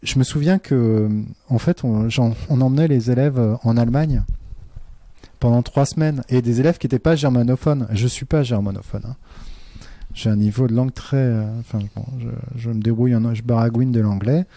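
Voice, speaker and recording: A man's voice: mid-range voice, moderate pace, dark tone, no room sound. A man, a teacher, talking earnestly in a casual interview, with many fillers and restarts. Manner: earnest and reflective.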